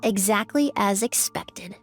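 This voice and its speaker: A woman's voice: mid-range voice, unhurried, soft background music, close to an everyday voice. A woman playing a composed, precise young investigator in a video game, with an explanatory manner. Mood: confident. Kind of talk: video game cutscene acting.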